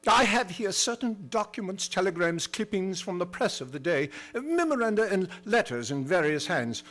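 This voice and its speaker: Man as a high, animated gothic narrator. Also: moderate pace, faint music behind. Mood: grave, earnest. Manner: formal, solemn. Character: earnest gentleman narrator of a gothic horror tale. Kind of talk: old-time radio drama narration.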